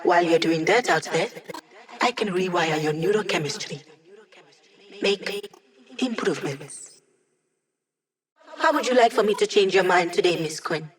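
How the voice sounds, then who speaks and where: A woman's voice: fairly low pitch, animated, a little slow, long pauses. An actress voicing a wry, cocky young woman hacker in a video game, speaking in quippy, casual lines. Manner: sardonic, quippy, casual slang. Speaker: game character, hacker support.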